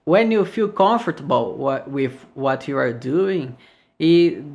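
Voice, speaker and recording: A man's voice: medium pitch, lively intonation, creaky, darkish tone. A man from Brazil with a Brazilian Portuguese accent, a guest guitarist speaking English in a casual podcast interview and searching for his words. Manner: halting, searching for words with many restarts.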